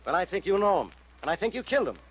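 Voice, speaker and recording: Casting: accusing cop, rapid-fire and fairly high-pitched, gruff, sarcastic, old radio crime serial. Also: male voice, very animated, full character voice. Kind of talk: 1940s radio drama acting. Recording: muffled, hissy vintage radio recording.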